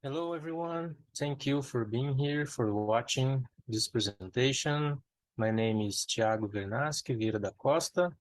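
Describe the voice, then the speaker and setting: Slow, careful Brazilian man presenting. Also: medium pitch, no echo, rough recording. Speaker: ornithologist presenter. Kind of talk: prepared conference talk.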